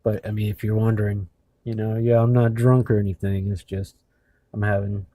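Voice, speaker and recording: A man hosting a video in casual narration, speaking haltingly with labored speech after mouth surgery. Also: lowish voice, flat delivery, mellow, dry recording. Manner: halting, hesitant. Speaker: video host.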